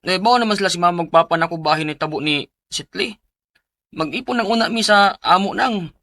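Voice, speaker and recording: A man's voice: high-pitched, fairly quick, sharp tone. A man playing a polite, hesitant twenty-year-old boyfriend in a radio drama, with a Cebuano accent. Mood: nervous, respectful. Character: nervous, respectful young man of twenty, teenage girl's boyfriend. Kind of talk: Visayan radio drama acting. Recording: clearly noisy track with clicks.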